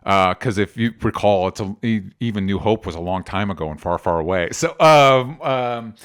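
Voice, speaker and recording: A man's voice: on the low side, lively intonation, normal speed, fairly bright tone, clear, resonant tone. A man with an American accent chatting casually and informally about entertainment news as a podcast co-host, often qualifying what he says. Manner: informal, hedging explanations.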